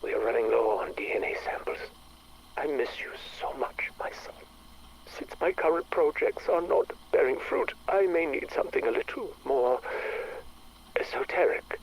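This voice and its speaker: An actor playing an obsessed, brooding scientist with a husky voice, speaking formally and tersely in dramatic video game audio logs. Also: male voice, medium pitch, lively intonation, moderate pace, slight background noise, low-quality recording. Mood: grieving, weary.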